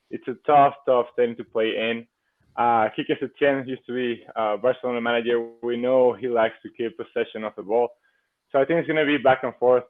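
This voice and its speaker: A man from Venezuela co-hosts a sports podcast in a friendly, relaxed way. His mid-range voice comes through a thin, phone-like connection. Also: medium pitch. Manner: friendly and relaxed, easygoing fan talk. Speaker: co-host. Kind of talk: casual sports podcast chat.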